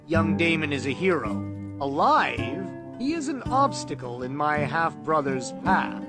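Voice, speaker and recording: A man reading a cold, calculating lord in a fantasy audiobook, in measured, formal speech with deliberate weight. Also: fairly high pitch. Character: cold, calculating lord, pragmatic royal Hand. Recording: loud plucked guitar music underneath.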